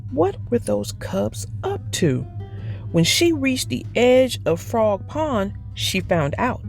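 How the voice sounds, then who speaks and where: A woman narrating a children's read-aloud as storyteller, expressive and deliberate. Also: on the high side, faint music behind.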